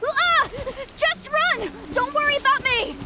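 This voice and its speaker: Little childlike spirit creature, very high voice, earnest, plucky yet hesitant, video game acting. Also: female voice, measured pace, heavy background noise. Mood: panicked. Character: small earnest young yokai, brave but timid.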